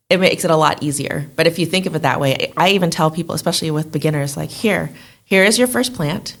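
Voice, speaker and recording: Low-voiced woman chatting as a guest. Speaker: guest, plant shop owner. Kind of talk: casual interview chat.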